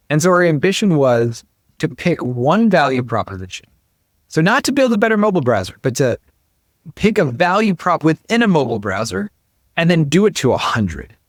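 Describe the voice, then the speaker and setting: A man's voice: medium pitch, dry recording, clean studio sound. A man speaking as a company founder and interview guest, explaining conversationally and emphatically, often dropping in "like" and "you know".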